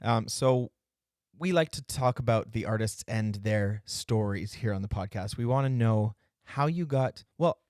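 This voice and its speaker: A man's voice: lowish voice, a little slow, darkish tone, clean tone, no room sound, clean studio sound. A man hosting a podcast, interviewing a guest in a friendly way and laughing easily. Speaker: podcast host.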